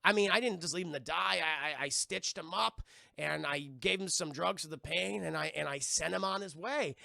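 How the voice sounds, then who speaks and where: Man as a nervous, stammering, creaky-voiced suspect. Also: a little high, normal speed, bright, forceful tone, dry recording, studio quality, heavily acted. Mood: defensive. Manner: stammering, self-justifying. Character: nervous, defensive hired man confessing to a crime.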